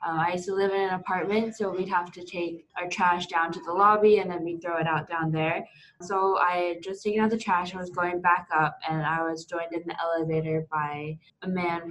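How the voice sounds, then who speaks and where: A woman's voice: lowish voice, a bit bright, poor audio. A young woman on a discussion panel, telling a personal story casually with many fillers. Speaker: panel guest.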